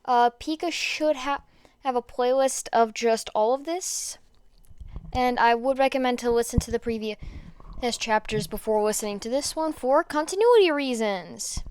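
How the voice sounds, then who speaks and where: A woman reading fan fiction aloud in an informal, expressive way, stumbling over words now and then. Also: fairly high pitch, normal speed, clean tone. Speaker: podfic reader. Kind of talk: amateur fan fiction reading with chatty asides.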